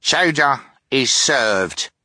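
A man's voice: medium pitch, lively intonation, very slow, bright, forceful tone, dry recording, pitched above the performer's own voice, heavily acted. A man voicing a cheerful, friendly young cook.

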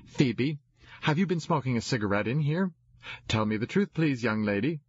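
A man's voice: mid-range voice, expressive, moderate pace, husky, voice pushed up. A man voicing a strict, proper mother in an audiobook, scolding and full of questions. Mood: stern, suspicious. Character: strict, fussing middle-class mother of a young girl. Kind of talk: audiobook character dialogue. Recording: dull, roomy, echoey recording.

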